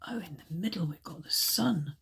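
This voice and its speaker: A woman reading tarot cards in a casual, chatty way, hesitant and full of ums, her voice still croaky from a chest infection. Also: fairly low pitch, lively intonation, moderate pace. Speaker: tarot reader.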